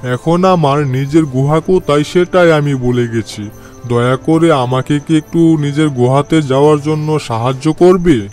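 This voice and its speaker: A man acts out an arrogant tiger king of the jungle for a children's cartoon fable. The voice sits a little high for a male and carries a domineering, bullying edge. Light music plays behind it. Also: a little slow, a little mellow, close to an everyday voice. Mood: humbled, pleading. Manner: domineering, threatening, scolding. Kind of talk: cartoon moral story voice acting.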